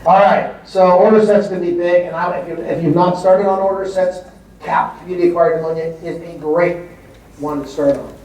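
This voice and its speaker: Male physician presenter, lively lecture manner, higher pitch, heavy hall echo. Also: a little fast, muffled recording, a little hiss or hum. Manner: emphatic and conversational, repeats words for stress. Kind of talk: conference lecture. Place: large hall before an audience. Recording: echoey hall from far off.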